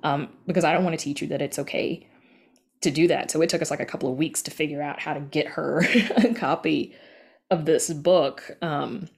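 A woman's voice: low-pitched, normal speed, creaky, husky. A woman talking casually as an interview guest, conversational and informal, acting out bits of imagined dialogue. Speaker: podcast creator and horror writer being interviewed.

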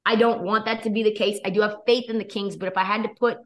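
A young woman guest with a hoarse, worn voice, talking sports and betting in a quick, energetic, opinionated way. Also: medium pitch. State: losing her voice. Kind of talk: casual sports chat.

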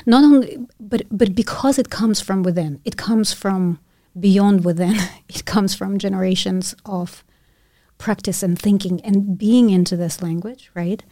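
A middle-aged woman professor whose first language is Russian, speaking in a measured, philosophical way and often checking in with "right?". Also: medium pitch, steady tone, mellow, dry recording, clean studio sound. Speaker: professor of physics and education. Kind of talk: reflective conversation.

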